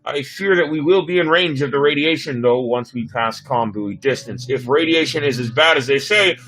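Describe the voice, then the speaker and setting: A man's voice: mid-range voice, normal speed, fairly bright tone, natural voice, low-quality recording. A man voicing a dutiful, anxious spaceship pilot in a sci-fi story reading, formal and earnest. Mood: wary. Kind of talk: dramatic reading of sci-fi script.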